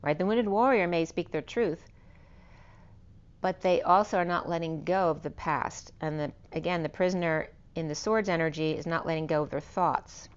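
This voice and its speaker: A woman with a hoarse, fading voice giving an unscripted spiritual tarot reading, meandering and correcting herself as she lists cards and numbers. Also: low-pitched, normal speed, dull audio. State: losing her voice. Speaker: tarot reader.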